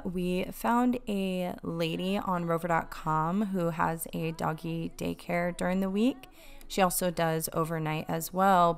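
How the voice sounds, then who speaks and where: Chatty woman with a smooth, gravelly low voice. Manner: chatty, upbeat, laughs easily. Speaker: home cleaning vlogger. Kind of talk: casual voice-over narration.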